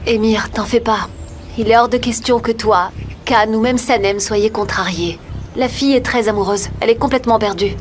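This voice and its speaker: A woman acting a calm, scheming and controlling character in a French-dubbed soap. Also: fairly high pitch, normal speed, natural voice. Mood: calculating reassurance. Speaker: dubbing actor. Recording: music under the dialogue.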